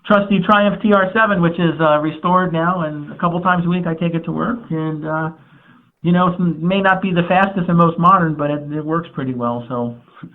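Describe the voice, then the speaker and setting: A man who works as an automotive journalist, answering interview questions in a relaxed, informative way. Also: a little high, a little fast. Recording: tinny phone line.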